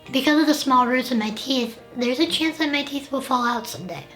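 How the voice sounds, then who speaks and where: A woman's voice: sits high, brisk, bright, forceful tone, faint music behind. A young woman hosting a personal documentary, narrating and interviewing in an earnest, candid way. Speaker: documentary host with the condition.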